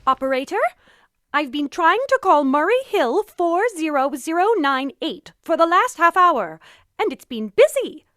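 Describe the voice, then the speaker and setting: Woman acting as a nervous invalid wife, very high voice, swooping intonation, imperious, agitated, old-time suspense radio drama. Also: animated, unhurried, a bit bright, dry recording. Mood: fretful. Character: nervous, demanding invalid wife alone at home.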